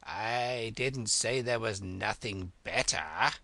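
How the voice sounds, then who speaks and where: Storybook king, acted by a man, fussy, excitable, slow emphatic phrases, bright forceful tone. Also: lowish voice, vocal fry, dry recording, exaggerated voice. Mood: faint, fussy.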